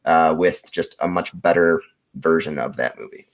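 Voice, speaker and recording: A man giving a casual, rambling movie pitch as a podcast contestant. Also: normal speed.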